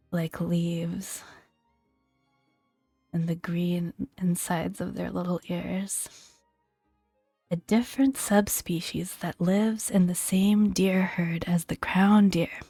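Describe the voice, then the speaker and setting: Soft breathy woman, near-whispered ASMR. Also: on the low side, no room sound. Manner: gentle, unhurried, murmuring. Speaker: video game content creator. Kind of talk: soft-spoken ASMR game commentary.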